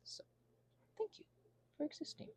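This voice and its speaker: A woman in her thirties giving a rambling, confessional ASMR monologue in a halting, earnest, self-deprecating way, with a husky, creaky voice. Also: a little mellow. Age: 30s. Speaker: ASMR channel creator.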